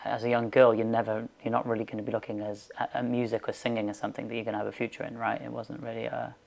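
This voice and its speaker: A woman hosts a podcast interview in an extremely deep voice with a gravelly fry. She keeps it relaxed and casual, nudging her guest along with short replies. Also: very fast. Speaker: podcast host.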